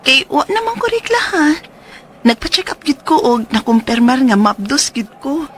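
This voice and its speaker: A woman's voice: high voice, sing-song, normal speed, a bit bright, a little hiss or hum. An actress with a Cebuano Filipino accent playing a hopeful, eager widowed mother of two in a radio drama. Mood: excited, reassuring.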